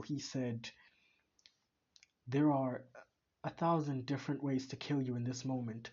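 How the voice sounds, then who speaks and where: A man's voice: mid-range voice, darkish tone, highs cut off, slight background noise. Hesitant man thinking aloud. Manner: hesitant, repeats words while thinking. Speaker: video reactor. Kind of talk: casual commentary.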